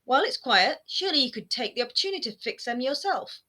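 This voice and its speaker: A woman voicing a shrill, nagging former queen in a storybook reading, her voice high, whining and scolding. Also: very animated, fast talker, heavily acted. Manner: shrill and scolding, rising to near shouting. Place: home room lined with bookshelves. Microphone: webcam framing, seated close to camera.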